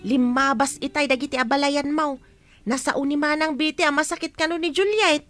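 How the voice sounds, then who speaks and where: A woman's voice: sits high, steady tone, fairly quick, a bit bright, no room sound, faint music behind. A woman playing a concerned, helpful younger village neighbour in a radio drama.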